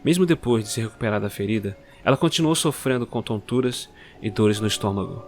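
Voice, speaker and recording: A man narrating a dark story in a measured, dramatic way. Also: lowish voice, faint music behind. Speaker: narrator and producer.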